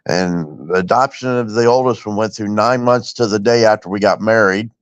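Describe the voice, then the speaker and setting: A man's voice: fairly low pitch, moderate pace, clear, resonant tone, no echo. A middle-aged man from Indiana, a former truck driver, reminiscing in plain casual chat. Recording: dull, dry, lo-fi stream audio.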